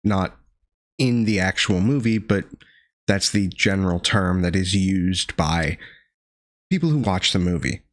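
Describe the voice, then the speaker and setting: A man with a gravelly voice still recovering from being sick, reviewing a horror film with dry sardonic asides and frequent pauses. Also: sits low, lively intonation, dry recording. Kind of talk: casual video review monologue.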